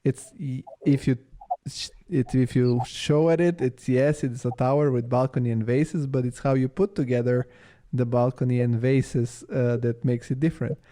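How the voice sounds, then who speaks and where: A man from Bulgaria and Italy hosting a casual interview, informal and halting as he thinks aloud. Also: medium pitch, steady tone, warm tone. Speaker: podcast host, interviewer.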